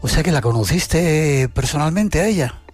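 A man's voice: on the high side, expressive, moderate pace, husky, no echo, faint music behind. A man hosting a programme and interviewing a guest. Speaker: radio or TV programme host, interviewer.

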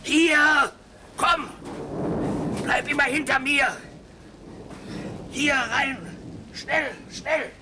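An actor playing a wild, lonely marooned ex-pirate sailor in a radio play, halting and eager. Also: male voice, very high-pitched, fairly even intonation, noisy background, exaggerated voice. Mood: urgent. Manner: halting, wistful, eager, with sudden urgency. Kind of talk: German-language radio play acting.

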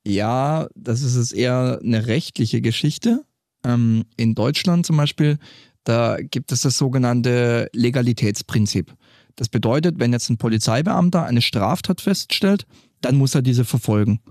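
A man who once led a police patrol group, explaining at some length in a studio interview and pausing now and then to find his words. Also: medium pitch, darkish tone, no room sound.

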